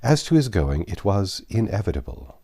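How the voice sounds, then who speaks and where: A man reading a classic novel aloud as narrator, in a measured, formal storytelling manner. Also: lowish voice, very animated, warm tone, slightly rough. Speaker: audiobook narrator. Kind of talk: expressive literary reading aloud.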